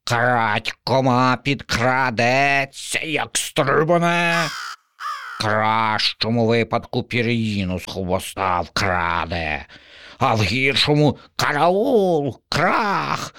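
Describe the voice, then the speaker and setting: A man's voice: medium pitch, expressive, bright, forceful tone, smooth, dry recording, studio quality, voice pushed up, exaggerated voice. A man doing a dramatic, dreamy female crow. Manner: drawn-out, grimacing, huffy.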